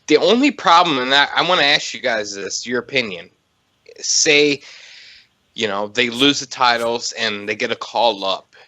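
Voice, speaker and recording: A man's voice: mid-range voice, expressive, moderate pace, bright, forceful tone, no echo. A man co-hosting a podcast, talking casually and emphatically about wrestling and giving his opinions. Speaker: podcast co-host.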